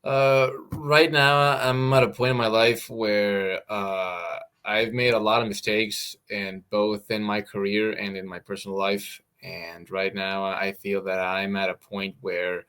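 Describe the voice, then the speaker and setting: Brief: male fighter guest, American accent, lowish voice, stop-start delivery full of repeats. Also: little pitch movement, fairly bright tone, clear, resonant tone. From Mexico. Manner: halting, repeats words often. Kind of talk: casual interview.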